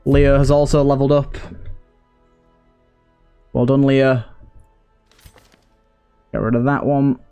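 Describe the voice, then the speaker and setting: A man's voice: medium pitch, little pitch movement, takes it slowly, pauses often, warm tone, smooth, faint music behind. A man hosting a gameplay video, thinking aloud in short remarks as he gives casual commentary. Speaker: gameplay host.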